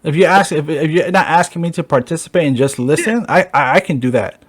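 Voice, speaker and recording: A man's voice: fairly high pitch, fast, slightly rough. A man hosting a casual podcast chat who talks in a loose way and swears freely. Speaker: podcast host.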